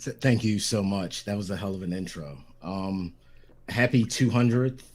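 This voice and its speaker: A man's voice: on the low side, moderate pace. A man appearing as a guest on a casual podcast, easygoing and thankful, making light jokes. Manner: easygoing and grateful, light joking. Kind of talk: casual chat.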